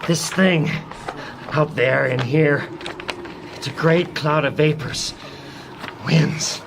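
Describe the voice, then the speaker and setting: Frightened obsessive, high voice, slow halting delivery, overwrought radio drama acting, noisy recording. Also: male voice, vocal fry. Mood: grim, obsessive. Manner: overwrought, halting, breaking into manic laughter and cries. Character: terrified obsessed man besieged by a living wind.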